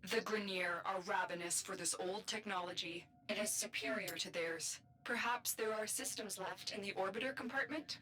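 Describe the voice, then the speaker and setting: Woman playing a composed, bright-voiced game guide. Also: medium pitch, a little hiss or hum, natural voice, low-quality recording. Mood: grave, assessing. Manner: measured, formal, instructive. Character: composed mysterious female guide, grave and purposeful. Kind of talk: video game character acting.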